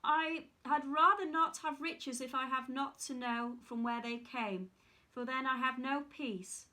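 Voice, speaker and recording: A woman's voice: high voice, a little slow, pushed and bright. A woman voicing the fisherman's curious wife in a fairy tale read aloud.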